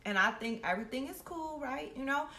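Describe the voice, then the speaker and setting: A young woman with a bright, forceful, fairly high voice tells a personal story in a brash, slangy way full of swearing. She acts out both sides of each exchange as she goes. The recording is roomy and echoey. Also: animated, a little fast. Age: young adult. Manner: brash, slangy and heavily profane. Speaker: host of a storytime series. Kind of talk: animated personal storytelling, casual. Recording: roomy echo with faint background noise.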